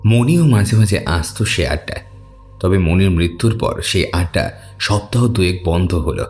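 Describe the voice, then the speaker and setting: A man narrating a scripted horror audio story as its storyteller, in a dramatic, expressive manner. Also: low-pitched, normal speed, faint music behind.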